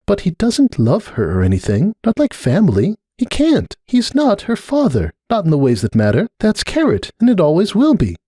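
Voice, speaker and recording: Pony mother for storybook drama, played by a man: lifted pitch, lively swings, hesitant, earnest, stubbornly defensive. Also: moderate pace, hardly any pauses, breathy edge, no echo, studio quality, heavily acted. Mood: stern.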